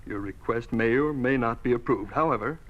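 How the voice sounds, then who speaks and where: On a dull, lo-fi old radio recording, a coolly officious bureaucrat played by a man questions formally. His mid-range voice is measured and deliberate. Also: medium pitch, lightly acted. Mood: stern. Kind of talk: old-time radio drama acting.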